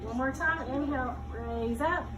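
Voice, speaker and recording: A woman's voice: sits high, normal speed, muffled recording. A woman yoga teacher leading a children's class, giving short step-by-step cues and checking in with questions. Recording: distant mic, clearly noisy room.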